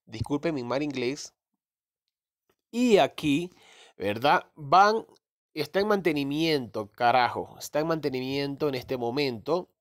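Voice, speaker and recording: A man's voice: medium pitch, expressive, a little slow. A man casually talking through a phone screen recording, rambling and correcting himself as he explains a crypto game. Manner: rambling, self-correcting, mild swearing. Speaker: crypto gaming youtuber.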